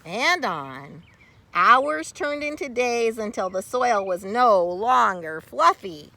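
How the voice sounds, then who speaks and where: A woman reading a children's story aloud expressively, with theatrical, deliberate phrasing and dramatic pauses. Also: a little high, big pitch swings, heavy background noise. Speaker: storybook reader.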